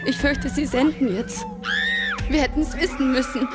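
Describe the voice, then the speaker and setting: A woman's voice: high voice, moderate pace, raised voice, over loud music, natural voice. An actress playing a fretful, panicky commune woman afraid of radiation in a radio drama. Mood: panicked.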